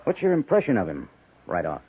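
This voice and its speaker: An actor playing a wary, wry army major in command of a fort, in 1950s radio western style, with a husky voice. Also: male voice, sits low, huge pitch swings, brisk, exaggerated voice. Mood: wry, cautious. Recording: tinny 1950s radio broadcast recording.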